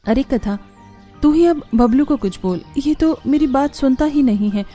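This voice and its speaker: A woman's voice: sits high, moderate pace, warm tone, voice pushed up, natural voice. Woman as a motherly, bossy cartoon sister-in-law. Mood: exasperated. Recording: music behind the voice.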